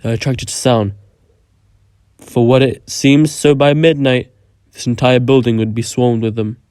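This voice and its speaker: Man playing a smooth, guarded lone survivor. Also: medium pitch, steady tone, a little slow, a little mellow, dry recording, clean studio sound, natural voice. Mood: matter-of-fact. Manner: blunt, self-assured. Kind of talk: game cutscene dialogue.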